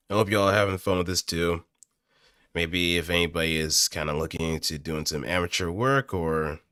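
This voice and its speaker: A man's voice: sits low, bright, forceful tone, clean tone, dry recording. A man chatting casually to viewers on a close microphone, thinking aloud in a hesitant, rambling way. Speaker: aspiring amateur voice actor. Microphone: close studio microphone, dry.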